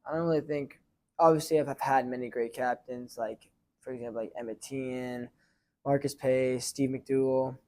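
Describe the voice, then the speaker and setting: A teenage boy and high school goalie answering interview questions casually, rambling with many fillers and restarts. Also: steady tone, moderate pace, dark tone. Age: teens.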